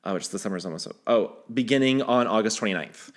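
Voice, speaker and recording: A man's voice: on the low side, fast. A man hosting a podcast, sharing news in a casual, conversational way, teasing his co-host and restarting his sentences as he goes.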